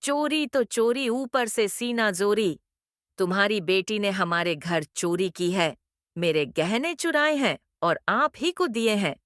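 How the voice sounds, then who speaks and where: A woman's voice: mid-range voice, animated, takes it slowly, fairly bright tone, dry recording, clean studio sound. A woman voicing a greedy, domineering middle-aged mother-in-law in a cartoon moral story, with an emphatic, theatrical delivery. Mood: haughty, demanding.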